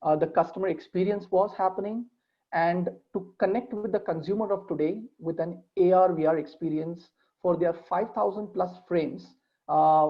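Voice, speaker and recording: A man's voice: high voice, muffled recording. A man giving a webinar presentation, working through points with many fillers. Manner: hesitant, steady listing with many fillers.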